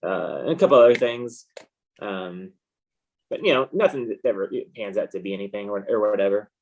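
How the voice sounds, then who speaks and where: A man's voice: on the low side, fairly quick, a little mellow, rough recording. A man chatting casually as an interview guest, in a rambling, understated, laid-back way. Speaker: interview guest, comic book artist. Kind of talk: casual chat.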